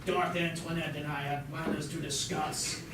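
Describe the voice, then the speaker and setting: A high-voiced, pompous dark emperor played by a man in a parody puppet show. He is greedy and muddles his grand words. The recording is very echoey, made far from the mic with loud noise. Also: level tone, moderate pace, lightly acted. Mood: pompous, flustered. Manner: pompous, tongue-tripping. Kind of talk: amateur comic puppet-show acting.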